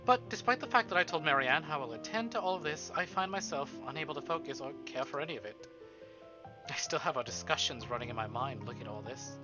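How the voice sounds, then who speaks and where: A man voicing the inner monologue of a weary, wistful high-society wife in a visual novel, formal and introspective. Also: lively intonation, fast, highs cut off, close to an everyday voice. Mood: wistful, reflective. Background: game music.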